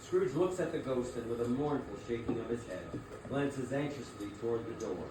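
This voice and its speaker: A man's voice: mid-range voice, lively intonation, normal speed, noisy background. A man narrating a scripted story as a dramatic reading. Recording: very echoey hall, distant mic, music behind.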